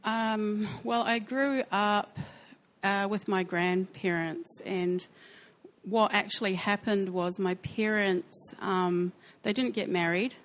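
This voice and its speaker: A woman with a New Zealand accent sharing her life story in a church interview, speaking softly and hesitantly with frequent pauses. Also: mid-range voice, fairly even intonation. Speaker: church member sharing testimony. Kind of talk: personal testimony interview.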